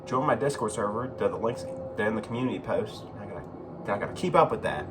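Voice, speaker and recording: A man giving casual, rambling video commentary as a host, halting and repeating himself, with informal, sweary phrasing. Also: on the low side, animated, noisy background. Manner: profane, informal. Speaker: YouTube host.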